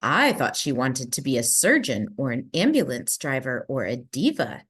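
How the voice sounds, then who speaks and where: A woman's voice: rumbling low, big pitch swings, normal speed, husky, voice pushed down, full character voice. A woman voicing mild-mannered, puzzled mouse parents in a storybook, with an understated, wondering delivery.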